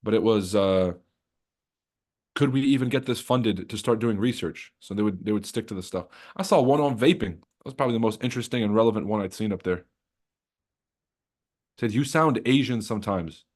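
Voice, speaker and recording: A man's voice: fairly low pitch, brisk, darkish tone. A young man hosting a trading livestream, chatting loosely with his chat, joking and swearing freely.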